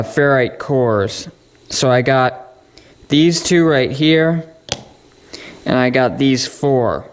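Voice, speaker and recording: Young guy doing a diy electronics walkthrough, clean smooth voice, takes his time, pretty matter of fact. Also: medium pitch. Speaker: electronics hobbyist presenter. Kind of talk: casual hands-on electronics build explanation.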